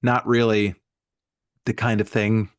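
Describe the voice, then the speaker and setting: A man's voice: on the low side, little pitch movement, slow, smooth. A man in his forties, a comedian from near Boston, chatting casually and rambling with many fillers. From near Boston, USA. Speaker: stand-up comedian, guest. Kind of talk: casual podcast chat.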